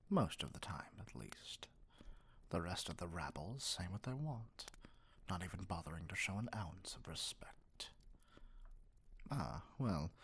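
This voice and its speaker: A man's voice: sits low, a little mellow, husky, heavily acted. A man voicing a spoiled, haughty evil king in an ASMR roleplay, speaking in a theatrical, condescending drawl.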